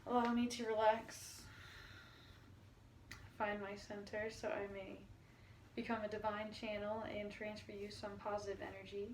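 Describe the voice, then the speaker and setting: A woman speaking as a spiritual healer and meditation guide, addressing the listener in a halting way with long pauses and drawn-out words. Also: mid-range voice, level tone, poor audio. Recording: noticeable background noise.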